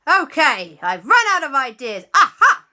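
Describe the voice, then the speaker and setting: A feisty, slightly scatterbrained mother from a children's tale, acted by a woman. She is protective and exclaims loudly. Her voice is forceful and bright, with very animated pitch. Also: unhurried, exaggerated voice. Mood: flustered triumph.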